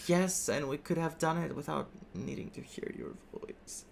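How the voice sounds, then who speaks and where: A man's voice: a little high, normal speed, creaky, a little hiss or hum. A man who is a native Greek speaker doing casual playthrough commentary, reacting aloud and explaining words as he reads them. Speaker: gaming streamer. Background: game music.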